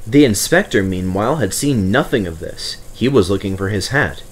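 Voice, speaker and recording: A man's voice: lowish voice, lively intonation, moderate pace, slightly rough. A man narrating a children's story from a script as the storyteller.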